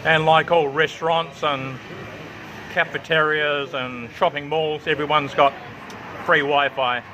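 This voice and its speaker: A man's voice: fairly high pitch, steady tone, unhurried, background noise. Chatty Kiwi-Aussie man at a street cafe. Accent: New Zealand and Australian. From New Zealand. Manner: chatty, plain-spoken, repeats himself for emphasis. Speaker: travel vlogger. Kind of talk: casual restaurant walkthrough vlog. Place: outdoor riverside cafe with traffic nearby.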